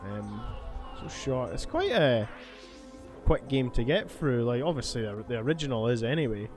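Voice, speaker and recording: A man's voice: medium pitch, very animated, moderate pace. A man giving rambling, sweary commentary as he plays a video game. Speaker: gaming video host. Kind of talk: casual gameplay commentary. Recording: faint game music behind. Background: video game music.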